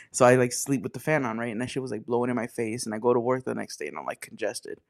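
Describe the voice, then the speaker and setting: A man's voice: mid-range voice, quick pace, gravelly, dry recording, clean studio sound. A young man hosting a casual podcast chat, swearing freely, joking and laughing often. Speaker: podcast host.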